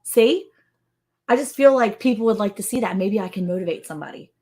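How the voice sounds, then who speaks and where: A woman's voice: medium pitch, lively intonation, brisk, dark tone. A woman in her forties co-hosting a casual livestream from home, chatty and teasing, greeting viewers by name. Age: 40s. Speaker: co-host of a ranch livestream.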